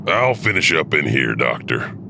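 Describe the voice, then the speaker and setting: A man's voice: very deep voice, big pitch swings, normal speed, vocal fry, noisy background, pitched below the performer's own voice, exaggerated voice. A man playing a dutiful but uneasy asylum orderly in a horror audio drama, questioning and deferential.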